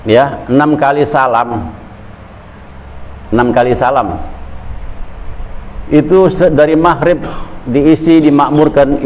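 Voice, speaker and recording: A man's voice: a little high, darkish tone, low-quality recording. A man giving a colloquial religious sermon at a weekly gathering, talking conversationally, repeating phrases and building to emphatic stresses. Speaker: Islamic preacher.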